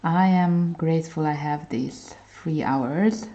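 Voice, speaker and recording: A woman's voice: low-pitched, takes it slowly, warm tone. A woman from Hungary hosting a coloring livestream, chatting casually with viewers and reading their comments aloud. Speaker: livestream host. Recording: dull lo-fi stream audio.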